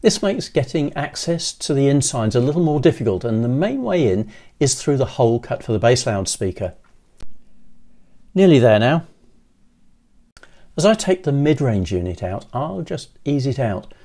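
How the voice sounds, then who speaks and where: An older man in his sixties giving scripted how-to narration in a measured, methodical way, as a presenter. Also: mid-range voice, sing-song, dark tone. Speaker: presenter of an electronics how-to video.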